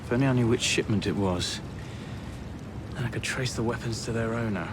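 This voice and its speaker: An actor with an English accent playing an earnest, fussy, secretive police sergeant in a Victorian video game. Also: male voice, medium pitch, brisk, noisy background, lightly acted. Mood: earnest, guarded. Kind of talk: video game cutscene acting.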